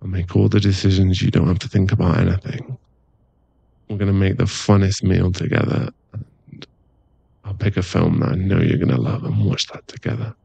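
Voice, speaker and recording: A man playing an affectionate, teasing werewolf boyfriend in an intimate ASMR roleplay, speaking in a soft, coaxing, halting way with a husky, gravelly voice. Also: deep voice, no echo, exaggerated voice, poor audio. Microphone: close microphone.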